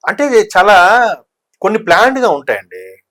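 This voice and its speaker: A man's voice: high voice, huge pitch swings, a little fast, a bit bright. A man giving conversational political commentary, talkative and explanatory, mixing in English words. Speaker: news discussion commentator.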